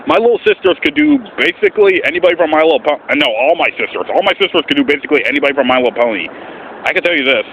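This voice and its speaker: A man talking casually and earnestly in a vlog as an amateur voice actor, with an animated, swooping delivery. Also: fairly high pitch, racing, vocal fry. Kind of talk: casual vlog explanation with voice demonstrations. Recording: muffled, faint background noise.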